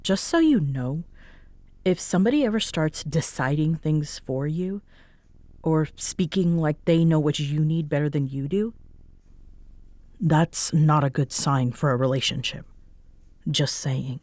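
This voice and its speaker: Roleplay audio drama: prickly young female roommate played by a woman, unhurried, halting, defensive delivery with a soft side, very dry recording. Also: low voice, dry recording. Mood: stern, guarded.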